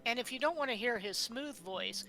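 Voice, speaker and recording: A woman's voice: a little high, animated, normal speed, pushed and bright, rough recording. A woman chatting in a game stream's voice chat, playfully teasing the host and laughing easily. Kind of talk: casual voice-chat banter.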